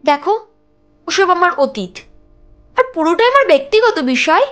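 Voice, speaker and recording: A woman voicing a secretive young ghost wife who is evasive and sharp in her replies, in an animated cartoon. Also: very high voice, moderate pace, faint music behind, full character voice. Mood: irritated, defensive. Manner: evasive, irritated, sharp retorts.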